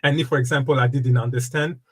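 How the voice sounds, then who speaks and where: A man in his fifties, originally from the Democratic Republic of Congo, talks as a guest in a relaxed interview. His delivery is halting, full of fillers and repeated starts, at a middle pitch. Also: slightly rough. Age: 50s. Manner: halting, many fillers and restarts. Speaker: guest, wellness coach and speaker. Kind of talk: casual interview conversation.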